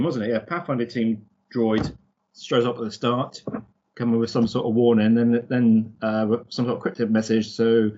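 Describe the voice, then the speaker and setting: A man's voice: mid-range voice, a little mellow, breathy edge, dull audio. A man co-hosting a podcast with a casual book recap, hesitant and often searching for words. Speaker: podcast co-host.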